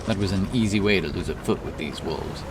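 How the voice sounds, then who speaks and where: Casting: male epic fantasy narrator, low voice, deliberate and dramatic, set back from the mic under loud music. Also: distant mic, background noise. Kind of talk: scripted fantasy audiobook narration.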